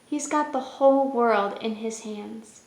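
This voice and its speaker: A woman's voice: a little high, a little slow, darkish tone. A woman hosting a children's story time, reading aloud and explaining in a simple way. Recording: roomy echo with music.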